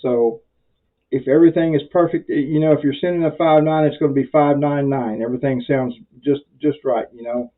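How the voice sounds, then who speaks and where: A man's voice: fairly high pitch, fairly even intonation, brisk, phone-quality sound. An American man giving an informal tutorial as an instructor, talking in a rambling, conversational, self-deprecating way. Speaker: amateur radio instructor.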